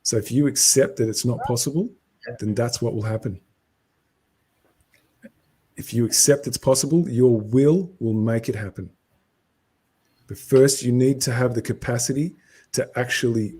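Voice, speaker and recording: A man's voice: mid-range voice, measured pace, pauses often, warm tone, breathy edge. A man on a live stream, answering viewer comments in a lecturing way.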